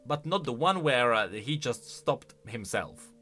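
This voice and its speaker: A man giving casual, conversational reaction commentary as a video host, with an animated, questioning manner. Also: mid-range voice, a little slow, faint music behind. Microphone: close studio-style microphone.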